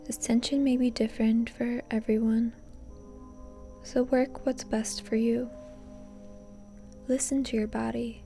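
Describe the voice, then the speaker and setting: A woman leading a guided meditation in a soft, breathy, often near-whispered voice, calm and gently instructing. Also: on the high side, measured pace, pauses often, faint music behind. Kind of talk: soothing guided meditation narration.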